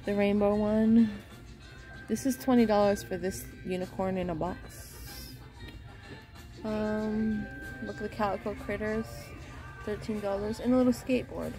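A woman strolls through a big home goods store, chatting at an unhurried pace about what she finds and reading each price aloud. Her voice sits at an ordinary middle pitch. Music plays clearly underneath the recording. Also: fairly even intonation. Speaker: shopping vlogger. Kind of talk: casual shop-with-me narration.

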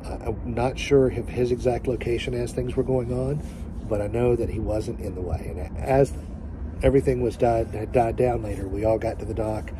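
A man in his forties telling a story straight to a phone camera outdoors, speaking earnestly and emphatically, pausing to find his words. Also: medium pitch, steady tone. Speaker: family vlogger. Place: outdoors by a boat in sunlight. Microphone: phone held at arm's length. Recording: outdoor phone audio with background noise.

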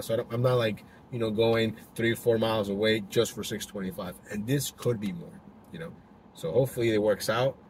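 A man's voice: mid-range voice, darkish tone, low-quality recording. Chatty young man talking from a car. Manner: chatty, loose, full of fillers and restarts. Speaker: delivery driver vlogger. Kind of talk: casual vlog talk to camera. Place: inside a car, driving. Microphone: dash-mounted camera. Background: faint car noise.

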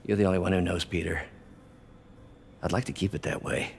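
An actor playing a middle-aged male scientist who is ailing, speaking in a gravelly, halting, solemn and confiding way in a video game. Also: low voice, fairly even intonation, long reverb tail, a little hiss or hum. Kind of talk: acted video game dialogue.

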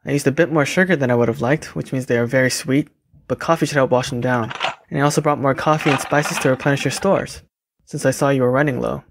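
A man's voice: mid-range voice, steady tone, quick pace, lightly acted. A man playing a courteous, reserved, caring young mortician in an audio drama. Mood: gently caring. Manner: courteous, understated.

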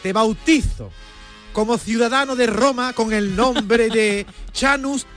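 A man's voice: extremely high pitch, takes it slowly, a bit bright, faint music behind, heavily acted. A man playing a vain, childish Roman emperor in a comic radio play, pompous and theatrical.

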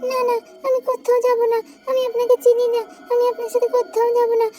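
A lonely little girl, as a woman plays her in a storybook audio drama, with a very high, childlike voice that pleads when she is afraid. Soft music plays behind her. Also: steady tone, normal speed. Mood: frightened. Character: small timid girl, lonely and frightened.

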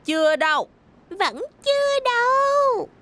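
An actor voicing an excitable, gleeful little childlike vehicle in a children's cartoon. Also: very high voice, slow, long reverb tail, full character voice. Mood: excited. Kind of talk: dubbed children's cartoon acting. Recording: music behind the voice.

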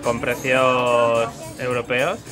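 A man's voice: medium pitch, flat delivery, very slow. A man with a Spain accent giving informal, conversational travel vlog commentary. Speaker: travel vlogger. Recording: music behind the voice. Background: music.

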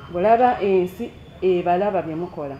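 A woman's voice: lowish voice, fairly quick. A middle-aged woman giving formal, encouraging thanks in an office. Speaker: broadcaster staff head. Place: office room. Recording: roomy, dull, faint noise.

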